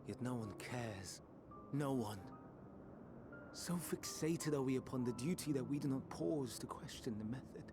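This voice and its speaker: An actor playing a melancholy, idealistic scholar in a video game, speaking in measured, formal, archaic phrases. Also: male voice, mid-range voice, lively intonation, music in the background, natural voice. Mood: brooding, troubled. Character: soft-spoken melancholy male scholar, idealistic, quietly tormented. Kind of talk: dramatic video game voice acting.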